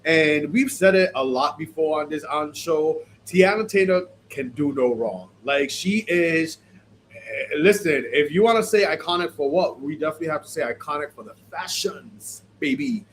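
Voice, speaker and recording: A man's voice: fairly high pitch, normal speed, slight background noise. A man hosting a podcast, chatting casually about fashion looks, sounding stuffy and sniffly from allergies. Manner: chatty, animated, many fillers. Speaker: podcast host. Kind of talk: casual fashion review chat.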